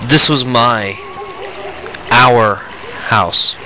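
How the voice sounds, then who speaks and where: A man's voice: mid-range voice, very slow, bright, forceful tone, low-quality recording. A man giving casual let's play commentary, rambling and often restarting his phrases, with game music playing behind him.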